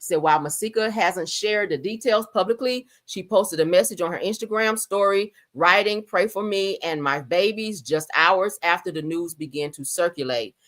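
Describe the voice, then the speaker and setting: A chatty woman hosts a livestream, talking loosely over news clips. She sits a little low in pitch for a woman and slips in "child" and "honey" as she goes. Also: normal speed, hardly any pauses, fairly bright tone. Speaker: livestream host. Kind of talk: casual commentary on news clips.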